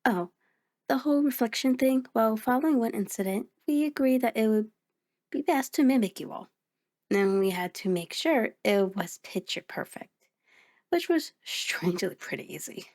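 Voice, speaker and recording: A woman's voice: a little high, animated, moderate pace, a little mellow. Chatty, sheepish mirror reflection voiced by a woman. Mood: chatty, reassuring. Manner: conversational, hesitant, explanatory, with musing asides. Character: sentient female mirror reflection, sheepish, chatty, patient explainer. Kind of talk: ASMR audio roleplay, speaking directly to listener.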